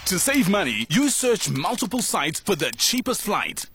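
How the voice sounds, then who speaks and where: A man voices a radio advert with a high, bouncy, sing-song delivery. The pitch swings widely and the tone is upbeat and salesy. Clear hip hop music plays behind him. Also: sing-song, normal speed. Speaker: advert voice-over.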